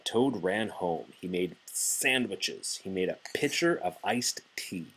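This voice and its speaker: A man reading a children's storybook aloud as a father would, in an animated, playful and deliberate way. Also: low voice, breathy edge, rough recording.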